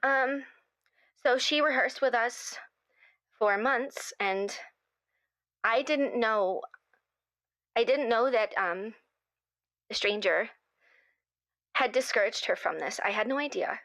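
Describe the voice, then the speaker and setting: A woman's voice: fairly high pitch, slow pace, long pauses, fairly bright tone, no echo. A woman playing an anxious, apologetic theatre organiser in a radio drama, speaking carefully and hesitantly. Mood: grave, uneasy. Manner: hesitant, careful, politely nervous. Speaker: radio show guest. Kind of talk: improvised actual-play radio show drama.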